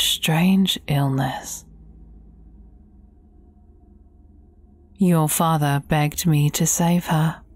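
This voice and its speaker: Evil sorceress: low, mellow, theatrical menace with whispered turns, fairy-tale audio drama. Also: female voice. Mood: brooding, bitter. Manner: slow, theatrical, menacing, often dropping to breathy whispers.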